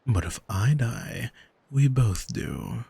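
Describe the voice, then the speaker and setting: A man playing an arrogant demon in an ASMR audio drama, smug and teasing, speaking deliberately and intimately to the listener with a husky voice, close on the microphone. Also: medium pitch, very animated, mellow, dry recording, heavily acted. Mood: smug, controlling. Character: arrogant male demon who owns a soul, smug, taunting. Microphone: close studio microphone, dry.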